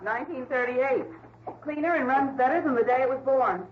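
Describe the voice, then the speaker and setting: A woman's voice: high voice, a little fast, slight background noise, natural voice. An actress playing a proud, forthright, handy woman in a 1960s radio drama, speaking in terse phrases. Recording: echoey, muffled old radio broadcast.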